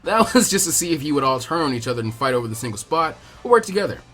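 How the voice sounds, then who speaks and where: A man voicing a gruff, teasing adult ninja teacher with a laid-back, cocky, crude way of talking. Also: a little high, very animated, racing, fairly bright tone, soft background music. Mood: amused.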